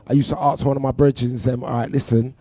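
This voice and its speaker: A middle-aged man with a London accent, chatting as a guest on a radio show and telling animated stories about his career. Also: medium pitch, fast, no echo. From London, England. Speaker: guest DJ and producer. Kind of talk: casual radio interview. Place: radio studio. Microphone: studio mic.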